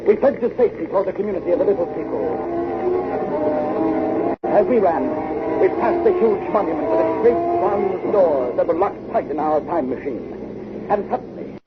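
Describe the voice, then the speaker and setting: An actor playing an earnest, anguished adventurer in a dramatic, intense 1950s radio drama style, on a muffled vintage recording. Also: male voice, high voice, big pitch swings, moderate pace, over loud music. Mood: grave, suspenseful. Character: earnest, anguished adventurer telling his time-travel tale.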